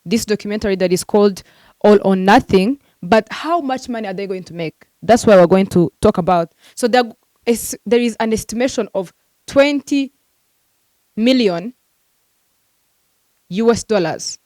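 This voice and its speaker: A woman's voice: mid-range voice, normal speed, a little mellow, no room sound. A woman hosting a football transfer news vlog, talking casually and animatedly to her viewers with lots of fillers, venting like a frustrated fan.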